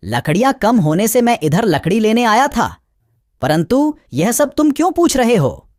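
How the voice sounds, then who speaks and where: A woman playing a frank, helpful forest deer in a children's animated story, emphatic and full of questions. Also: on the high side, normal speed, dry recording, clean studio sound. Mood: explaining.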